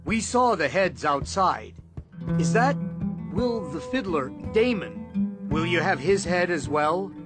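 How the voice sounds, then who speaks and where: Casting: male reader as troubled young knight, deferential, higher voice, slow questioning delivery, fantasy audiobook. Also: lively intonation. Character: earnest, troubled young knight addressing his lord. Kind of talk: audiobook character reading. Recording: loud plucked guitar music underneath.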